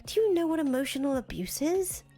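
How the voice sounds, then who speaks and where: A woman's voice: high voice, expressive, brisk, dark tone. A woman voicing an anxious, disillusioned young fangirl in a visual novel, hesitant, earnest and self-deprecating. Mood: upset, reproachful. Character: anxious, disillusioned young fangirl, soft-hearted conscience of a revolutionary. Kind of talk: visual novel dialogue reading. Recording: music behind the voice. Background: music.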